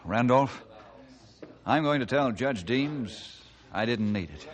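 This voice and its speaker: A man playing a steady, forgiving forest ranger with an American accent in a 1950s radio adventure, speaking in an earnest, plain way. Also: medium pitch, takes it slowly. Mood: earnest, kindly.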